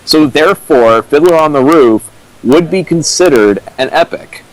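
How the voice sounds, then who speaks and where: A man co-commentating in casual, opinionated discussion, talking haltingly as he explains through examples. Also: fairly high pitch, lively intonation, poor audio.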